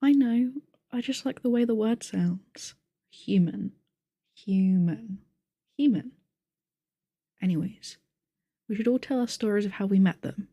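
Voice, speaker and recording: A woman acting a rule-bound, blunt creature who runs the tabletop game. Her voice is mellow and very dry, close and without room sound. She speaks matter-of-factly, with a pedantic streak, in ASMR roleplay style. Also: medium pitch, a little slow, long pauses, dry recording. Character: strict, blunt, rule-following game master with sensitive ears, female nonhuman.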